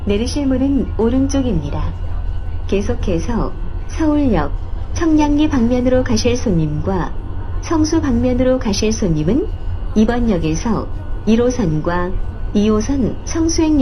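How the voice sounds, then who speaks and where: A woman reading scripted station announcements as a train announcer, formal, measured and carefully enunciated. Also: fairly high pitch, warm tone, slight background noise. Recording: uneven cheap-mic capture, music behind. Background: faint music, train ride.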